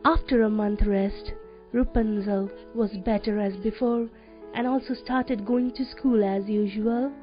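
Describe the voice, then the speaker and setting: A woman with a Nepali accent hosting a children's radio show and reading stories aloud in a deliberate, clearly phrased way for young listeners. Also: medium pitch, fairly even intonation. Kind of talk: read-aloud children's storytelling, radio presenting. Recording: dull lo-fi radio audio with music. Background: music.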